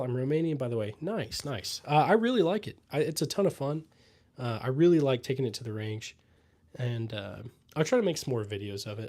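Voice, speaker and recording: A young man from the American Midwest hosts a laid-back livestream, answering viewers' questions as he reads through the chat. His voice is dark and mellow, and he talks in an unhurried way, trailing off with "uh" and "let's see" as he scrolls. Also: medium pitch. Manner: relaxed, trailing fillers, pauses to read comments. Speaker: livestream host reading chat.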